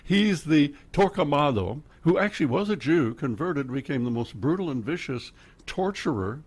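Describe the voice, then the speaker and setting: A man's voice: on the high side, animated, moderate pace, husky. An older man with an Oklahoma accent, telling rambling, chatty stories as a radio guest. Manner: rambling storyteller, laughs often, jumps between topics. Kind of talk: casual radio chat. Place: radio studio.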